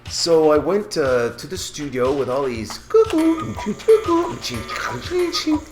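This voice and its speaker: A man who grew up in Switzerland, telling lively stories as an interview guest and mimicking sounds along the way. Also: a little high, measured pace. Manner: animated, imitates sounds and voices while telling stories. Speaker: singer-songwriter guest. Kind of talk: casual storytelling interview. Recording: echoey room with guitar music behind.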